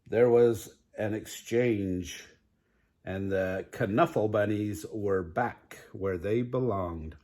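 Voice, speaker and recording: An older man reads a children's story aloud in a grandfatherly way, pausing to put chatty questions to young listeners. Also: on the low side, darkish tone.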